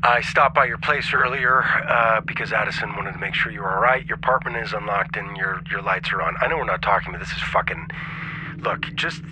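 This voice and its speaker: A worried, gruff man played by an actor talks haltingly in a fairly low voice. It is an awkward voicemail in a naturalistic audio drama, with clear noise and hum behind it. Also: lightly acted. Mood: awkward worry. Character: worried, gruff estranged friend leaving a voicemail.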